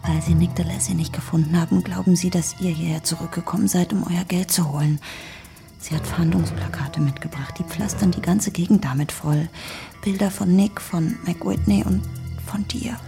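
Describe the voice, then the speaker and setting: Crook's loyal, worried partner: dark mellow tone, vocal fry, hushed urgency, radio thriller. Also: female voice, lowish voice, fairly even intonation, normal speed, soft background music. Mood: worried, urgent. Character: anxious, loyal female partner of a criminal. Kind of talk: intimate crime radio drama acting.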